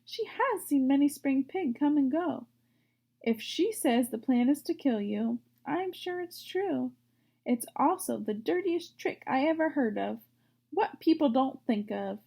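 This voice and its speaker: A woman voicing a calm, firm, thoughtful female spider in a storybook. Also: high voice, a little slow, gravelly, pitched above the performer's own voice, full character voice. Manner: composed, firm, matter-of-fact.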